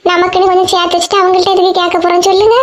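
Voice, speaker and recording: A high-pitched, strict mother-in-law played by a woman, sure of herself and fond of boasting. She hands out firm advice in a Kanyakumari Tamil accent, in the manner of a comic cartoon. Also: monotone, very fast. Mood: boastful, advising. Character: self-assured, bossy, strict mother-in-law giving advice.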